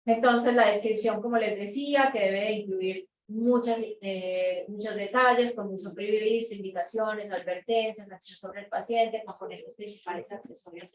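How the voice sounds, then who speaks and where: Colombian woman lecturing in an echoey room. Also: mid-range voice. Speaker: conference speaker, researcher. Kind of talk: lecture presentation to an audience. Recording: echoey room, distant mic, background noise.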